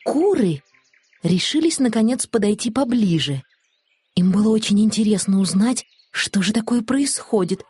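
A woman narrating a children's audiobook in an expressive, scripted storytelling style. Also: mid-range voice, moderate pace, husky, no room sound, faint music behind.

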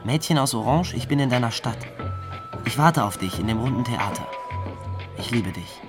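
Young runaway, male actor: guarded, boyish, medium pitch, radio crime drama over music. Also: lively intonation, normal speed, natural voice. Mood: polite, shy. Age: young adult.

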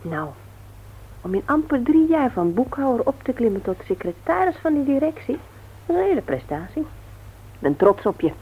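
An actress playing an affectionate, down-to-earth, gently teasing young wife in an expressive 1960s radio serial style. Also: female voice, high voice, moderate pace, husky, full character voice. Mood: tender, proud. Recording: muffled, faintly noisy old radio recording.

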